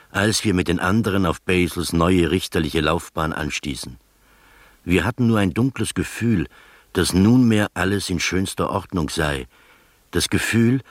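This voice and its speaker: A sober storyteller in a radio drama. His voice is very low and closely recorded with no room sound, and he narrates in a measured, literary way. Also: no room sound, studio quality. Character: male first-person storyteller, sober, quietly astonished companion.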